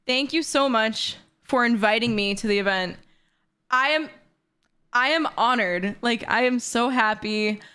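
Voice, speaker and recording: A woman in her twenties chatting excitedly and bubbly on a livestream from her bedroom. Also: a little high, a little slow, smooth. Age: 20s. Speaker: streamer. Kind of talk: casual livestream chat.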